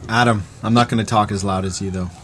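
Dry, low-voiced man co-hosting a podcast. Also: fairly even intonation, fast. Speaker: podcast co-host. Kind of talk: casual chat. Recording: clear background noise.

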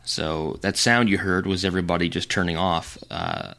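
A man with a very low voice hosts a casual photography podcast. He talks loosely, teasing his co-host and stumbling through restarts and fillers. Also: sing-song, moderate pace, gravelly, bright, forceful tone. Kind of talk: casual chat.

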